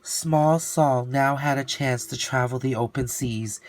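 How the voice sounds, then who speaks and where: A man narrates a children's storybook at a slow, unhurried pace. His reading is expressive and theatrical, stretching out words for emphasis. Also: medium pitch.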